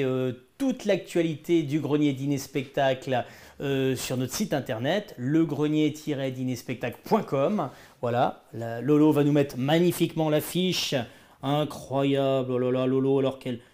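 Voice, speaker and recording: Upbeat guy hosting a live show, fairly high voice, keeps saying incredible. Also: normal speed, warm tone. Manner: enthusiastic, exclamatory. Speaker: show host. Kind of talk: video call interview. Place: indoor studio.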